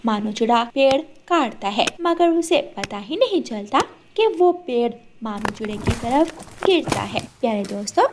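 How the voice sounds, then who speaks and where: A woman's voice: a little high, a little hiss or hum. A woman reading scripted narration for a children's story.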